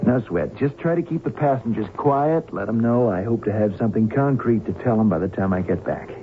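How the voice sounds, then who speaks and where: An actor playing a blunt, sardonic airline captain held hostage, in an old-time radio drama. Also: male voice, on the low side, brisk, lightly acted. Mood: wry, steady. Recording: tinny, hissy old radio recording.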